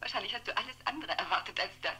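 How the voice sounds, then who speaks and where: An insistent caller in an old radio play. Her very sharp, forceful voice comes through a telephone filter with faint hum and noise, pleading and questioning at a middle pitch. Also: racing. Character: insistent, pleading woman from a man's past, phoning. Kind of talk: 1960s German radio drama dialogue. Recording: noisy, humming old telephone line.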